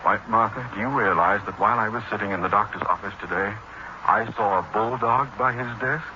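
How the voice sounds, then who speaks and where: An actor playing an irritable middle-aged American school principal, pompous and formal, in 1940s radio comedy style. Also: male voice, lowish voice, fairly quick, natural voice. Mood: nervous, grumbling. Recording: noisy, muffled 1940s radio broadcast recording.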